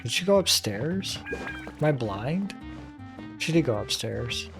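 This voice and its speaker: A woman's voice: a bass voice, sing-song, slow, gravelly. A woman game streamer giving casual playthrough commentary, musing aloud to herself. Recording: game music behind the voice.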